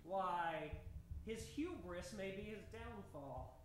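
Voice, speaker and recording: An actor playing an excitable, brainy scientist adventurer, fussy and exclamatory, in an old-time radio adventure parody. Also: male voice, high-pitched, slow pace, exaggerated voice. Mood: pondering. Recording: roomy sound, loud background noise.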